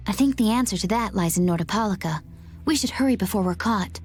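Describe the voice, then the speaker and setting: A woman's voice: mid-range voice, quick pace, a little mellow, natural voice. A woman playing an earnest, polite and caring young heroine in a video game. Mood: earnest, concerned. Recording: music under the voice.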